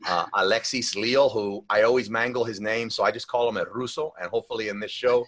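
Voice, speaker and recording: Rambling man hosting a podcast, low-pitched. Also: steady tone, brisk, fairly bright tone. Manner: loose and rambling, with many restarts and "like". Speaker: podcast host. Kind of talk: casual podcast chat.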